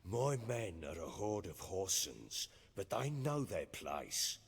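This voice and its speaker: An actor playing a gruff, proud middle-aged warlord and father in a video game, speaking in folksy, archaic, blustering phrases. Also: low voice, sing-song, slow, in a large hall, exaggerated voice. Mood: menacing. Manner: blustering, folksy archaic speech, swinging emphasis. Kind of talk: dramatic video game acting.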